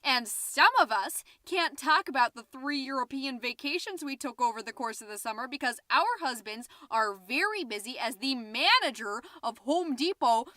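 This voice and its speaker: A woman's voice: sits high, animated, moderate pace, hardly any pauses, bright, forceful tone, no echo. A woman playing a gossipy, petty, easily flustered middle-aged American suburban mom, chattily hosting her podcast in a scripted comedic monologue. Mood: petty, indignant. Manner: chatty, indignant, with muttered asides. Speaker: podcast host.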